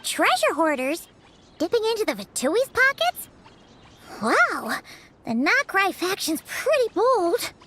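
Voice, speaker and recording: A woman voicing a tiny, childlike, excitable fairy companion in a video game, chatty and full of exclamations. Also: very high voice, slow pace, slight background noise, full character voice. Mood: surprised, indignant. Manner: exclaiming, chatty, easily sidetracked. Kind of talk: animated video game dialogue. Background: light music.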